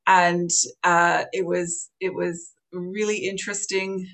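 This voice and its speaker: Soft-spoken Canadian woman, gravelly low voice. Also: flat delivery. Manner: soft-spoken, thoughtful, many pauses and fillers. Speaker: podcast guest, meditation teacher. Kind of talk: reflective conversational storytelling.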